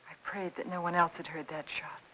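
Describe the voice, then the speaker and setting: An actress playing a frightened, desperate housewife in old-time radio noir style, anxious and confiding. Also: female voice, on the low side, level tone, fairly quick, very noisy, natural voice. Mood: haunted, hushed dread. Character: frightened, desperate housewife hiding her husband's death. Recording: old radio drama with faint music.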